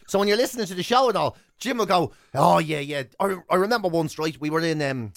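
An Irish man hosting a radio show, telling rambling, animated comic stories in casual banter. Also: on the high side, fast, gravelly, no echo, studio quality.

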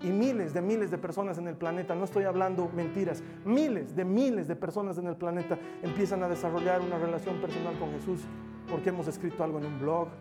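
Man preaching a sermon over soft music. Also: fast. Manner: repetitive, swings from loud emphatic peaks to soft low passages. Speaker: pastor. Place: church. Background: music playing under the sermon.

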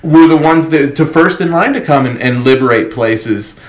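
A man's voice: on the high side, moderate pace. A man hosting a podcast, giving opinions casually in an emphatic, sardonic way. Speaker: podcast host. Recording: roomy, echoing podcast recording.

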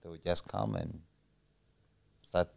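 A man from Uganda, a podcast guest, answering questions in a soft, plain and hesitant way. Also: low voice, steady tone, takes it slowly, gravelly. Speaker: interview guest. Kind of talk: casual interview answers.

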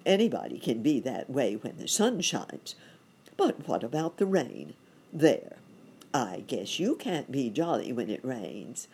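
A woman voicing a mild, wistful old gentleman rabbit in a storybook, gentle and courteous. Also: low-pitched, huge pitch swings, takes it slowly, gravelly, husky, exaggerated voice. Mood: sad, doubtful.

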